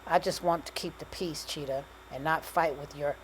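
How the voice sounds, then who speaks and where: A woman's voice: sits low, fairly even intonation, moderate pace, slight background noise, close to an everyday voice, poor audio. A woman voicing a heartbroken, stubborn young woman, emotional and slangy, in audiobook dialogue. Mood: pleading.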